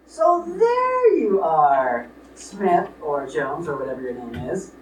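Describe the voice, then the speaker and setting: A man playing a stern, suspicious, blustering father in a live radio play, speaking deliberately. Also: on the high side, wildly swooping pitch, heavily acted. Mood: indignant. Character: stern, suspicious rich father, middle-aged or older man. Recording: roomy, distant stage recording with noise.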